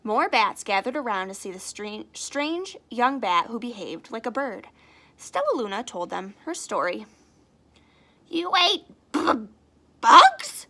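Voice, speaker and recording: Lady reading a kids story out loud, really lively up and down, bright, chatty asides. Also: medium pitch, normal speed. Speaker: storybook reader. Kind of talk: expressive children's read-aloud with casual asides.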